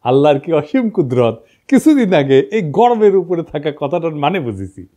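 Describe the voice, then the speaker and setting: Bangladeshi guy with a northern accent, kinda high but soft toned, ranting and telling stories, sarcastic. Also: very animated, moderate pace. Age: middle-aged. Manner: mocking, colloquial, rhetorical questions. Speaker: political commentator, online host. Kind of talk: informal monologue, storytelling political commentary.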